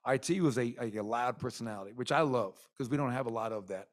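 Candid rambling coach at a press conference. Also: male voice, mid-range voice, fast talker. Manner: candid, rambling with many fillers. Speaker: basketball head coach. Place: arena press room. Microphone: desk mic in front.

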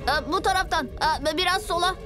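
A woman voicing an energetic, supportive young boy superhero in a cartoon dub, alert and encouraging. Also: very high voice, fairly quick. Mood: alert, advising. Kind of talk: Turkish animated cartoon dub. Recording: music behind the voice.

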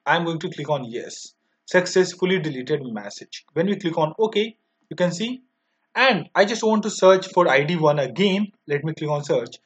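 A man in his twenties with an Indian accent, narrating a step-by-step software tutorial in a halting, repetitive way. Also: a little high, expressive. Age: 20s. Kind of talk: step-by-step screen demo narration. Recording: dull lo-fi microphone sound.

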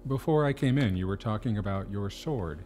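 Man acting a patronizing, expressive asylum doctor. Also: lowish voice, slight background noise, close to an everyday voice. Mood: probing. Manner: measured, condescending, questioning. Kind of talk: audio drama acting.

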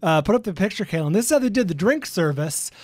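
A man's voice: sits high, animated, fast talker, a little mellow, no echo. A middle-aged American man telling stories conversationally as a podcast co-host, on a close studio microphone. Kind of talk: casual conversational storytelling. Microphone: close studio microphone with headphones.

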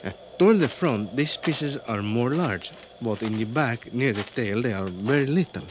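A man's voice: mid-range voice, expressive, normal speed, close to an everyday voice. Male actor playing Mexican investigator, Spanish accent, broken English, earnest, hesitant, mid-range voice, old radio drama, faint hiss. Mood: earnest, explaining. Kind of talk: 1950s radio drama acting. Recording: noisy old radio broadcast.

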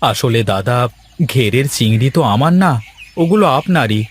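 A man's voice: mid-range voice, animated, moderate pace, a little hiss or hum, lightly acted. Man playing a humble cartoon village farmer. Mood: pleading. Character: wheedling, humble village husband and farmer, crafty but pleading. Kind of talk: cartoon dialogue acting.

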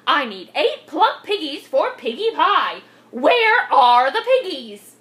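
A woman's voice: high voice, sing-song, slow pace, a bit bright, voice pushed up, heavily acted. A woman voicing a cranky, bossy storybook witch who screeches, cackles and hurls insults. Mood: bossy, impatient. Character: cranky, bossy witch hunting pigs, shrieking and threatening.

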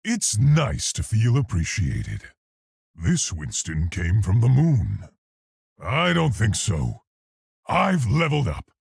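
A man voicing a large, earnest, polite and brave gorilla scientist for a video game, gruff and articulate in his delivery. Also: lowish voice, huge pitch swings, slow, a little mellow, no echo, studio quality, heavily acted. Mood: earnest, heroic. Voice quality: gruff, husky, gravelly. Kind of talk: video game character voice lines.

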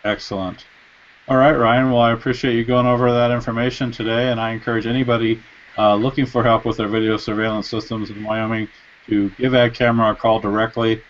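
A man's voice: medium pitch, flat delivery, fairly quick. A man in his forties hosts a live online interview from his home office, upbeat, and he often says "excellent". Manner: upbeat host, repeats "excellent". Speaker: host. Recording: dull lo-fi webcam audio.

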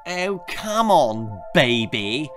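A man voicing a spoiled, eager little girl in a storybook, whiny, excitable and bossy. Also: medium pitch, drawn-out words, faint music behind, exaggerated voice. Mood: coaxing.

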